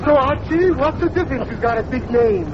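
An actor playing a self-assured foreign talent agent in a 1940s radio comedy, speaking broken English in grand, formal phrases. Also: male voice, very high voice, animated, fairly quick. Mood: smug. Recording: dull old radio broadcast with hiss.

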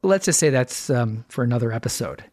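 A man's voice: mid-range voice, animated, brisk, a little mellow, dry recording, clean studio sound. A middle-aged American man hosting a podcast, telling stories conversationally in a wry, self-deprecating way. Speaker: podcast host. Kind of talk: conversational storytelling.

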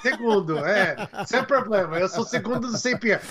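Um homem do Canadá, falante nativo de inglês com sotaque canadense, conversa num português hesitante, procurando as palavras, como convidado de uma entrevista. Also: male voice, high-pitched, animated, crowd noise. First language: English. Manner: halting, searching for words in a second language. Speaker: guest. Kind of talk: casual interview chat.

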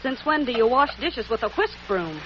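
A sassy young cook. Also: female voice, on the high side, big pitch swings, moderate pace. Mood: teasing. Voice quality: sassy, teasing. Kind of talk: 1940s radio acting. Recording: dull old radio broadcast with hiss.

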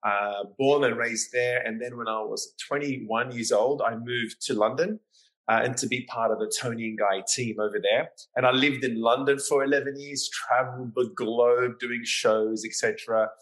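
A man's voice: mid-range voice, steady tone, moderate pace, a bit bright, rough recording. A man with an Australian accent, a hairdresser guest telling stories in a casual interview, often adding "you know".

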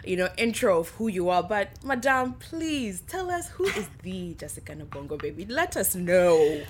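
A woman's voice: on the low side, big pitch swings, normal speed, slight background noise. A woman hosting a podcast in a playful, effusive, sassy way, chatting casually with lots of slang. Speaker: podcast host.

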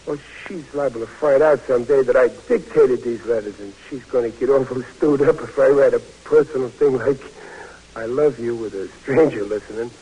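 An actor playing a big, good-natured, bashful young army private, talking in a folksy, stammering way in 1940s radio drama. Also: male voice, mid-range voice, a little fast, mellow, breathy edge, natural voice, low-quality recording. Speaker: soldier.

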